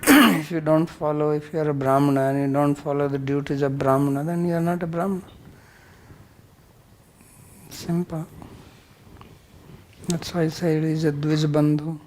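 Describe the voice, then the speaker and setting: A man's voice: on the high side, steady tone, moderate pace, pauses often, a little hiss or hum, rough recording. A man teaching scripture as a religious teacher, bluntly correcting and explaining Sanskrit terms word by word. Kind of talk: verse-by-verse scripture teaching with questions and answers.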